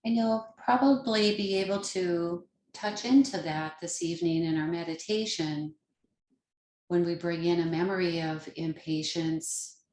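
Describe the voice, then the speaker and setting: A woman leading a guided meditation as a teacher, speaking gently in short, unhurried phrases with pauses between them. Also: lowish voice, fairly even intonation, a little hiss or hum. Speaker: yoga nidra meditation teacher. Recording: roomy, echoing sound.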